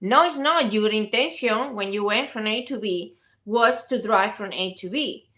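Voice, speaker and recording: Insistent Spanish-accented woman, bright voice. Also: medium pitch, moderate pace. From Spain. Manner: insistent, interrupting, laughs often. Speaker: vegan activist host. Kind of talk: heated debate.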